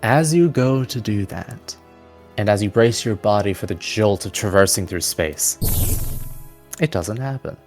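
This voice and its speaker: A man's voice: fairly low pitch, expressive, a little slow, a little mellow. A man narrating a tabletop fantasy game as its game master. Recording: music playing behind. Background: background music.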